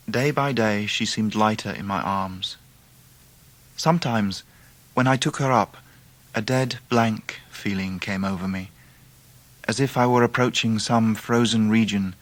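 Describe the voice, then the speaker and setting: A Victorian English gentleman in a period radio drama, with a slightly low voice. He is tender and earnest, speaking in gentle, measured, literary phrases. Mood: wistful, sorrowful. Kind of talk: period radio drama acting and first-person narration.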